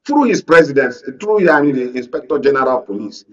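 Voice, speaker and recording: Husky Nigerian man, slightly distant and muffled. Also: medium pitch, very animated, fast, a bit bright. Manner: insistent, holds the floor, repeats phrases. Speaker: panel guest, media analyst. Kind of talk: political panel discussion. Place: radio and TV studio.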